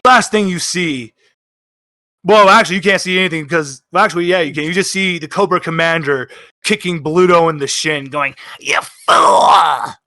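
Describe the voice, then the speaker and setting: A man narrating and improvising as game master of a tabletop game, animated and swearing freely. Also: on the high side, a little fast, no room sound, clean studio sound.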